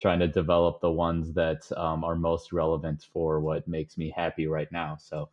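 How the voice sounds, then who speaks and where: A young man from the Chicago suburbs, chatting as a podcast guest in a relaxed, rambling way with lots of fillers. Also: extremely low pitch, moderate pace. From suburbs of Chicago, USA. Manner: relaxed, rambling, many fillers, chuckles often. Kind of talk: casual interview conversation. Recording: slightly dull lo-fi audio.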